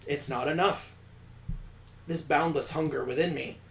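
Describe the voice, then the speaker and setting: A man's voice: a little high, steady tone, measured pace, creaky, slight background noise. A man reading as a grim, vengeful killer, echoey. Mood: brooding wrath. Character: vengeful, coldly cruel young killer narrating a visual novel. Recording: roomy, echoing sound.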